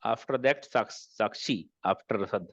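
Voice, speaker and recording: A man's voice: on the low side, normal speed, a bit bright, no echo. A man hosting an online class as instructor, informally moderating introductions over a video call with dull, dry sound.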